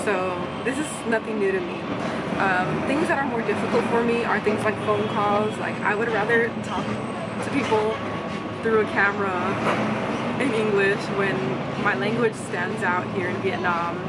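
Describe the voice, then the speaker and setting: A young woman talking candidly to a handheld camera in a casual vlog, soft-spoken with frequent ums, filming on a bus and in a supermarket. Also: medium pitch, fairly even intonation, moderate pace. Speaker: vlogger. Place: busy public places in a city, on a bus and in a crowded supermarket. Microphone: handheld self-filming camera. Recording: loud crowd and street noise. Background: crowd chatter and traffic noise.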